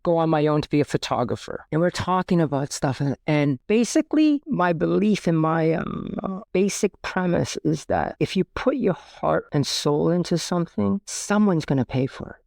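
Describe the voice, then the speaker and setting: A man's voice: a little high, animated, moderate pace, creaky, darkish tone, no room sound, studio quality. A middle-aged man from Vietnam who grew up in Canada, telling stories casually and informally as a podcast guest, rambling and acting out quoted remarks. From born in Vietnam, immigrated to Canada at ten. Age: middle-aged or older. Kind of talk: casual, reflective storytelling interview.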